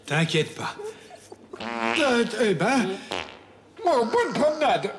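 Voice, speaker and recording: Distracted, reassuring animated father, lively melodic delivery. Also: extremely high pitch, extremely slow, vocal fry, over music, full character voice. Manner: breezy, reassuring. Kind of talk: animated cartoon dialogue.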